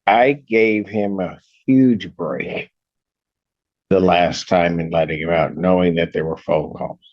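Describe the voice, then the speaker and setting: A man speaking as a judge from the bench, stern and emphatic, often halting and repeating himself. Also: low voice, highs cut off. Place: courtroom.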